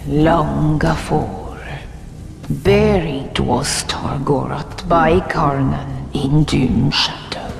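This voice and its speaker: A man voicing an ancient, brooding forest spirit in a fantasy game, cryptic and incantatory, with a breathy whisper. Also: fairly high pitch, drawn-out words, background noise, exaggerated voice. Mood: mournful, brooding. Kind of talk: dramatic fantasy game acting. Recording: echoing long reverb, music underneath.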